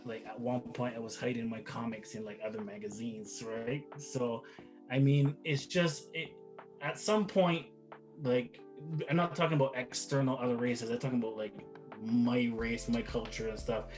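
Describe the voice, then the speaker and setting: A man's voice: medium pitch, steady tone, normal speed, darkish tone. Middle-aged male interview guest from Barbados, loose rambling delivery, mid-range voice, music underneath. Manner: rambling, repeats words, says "like" and "right?" often. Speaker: interview guest, father of the other guest. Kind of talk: casual interview answers.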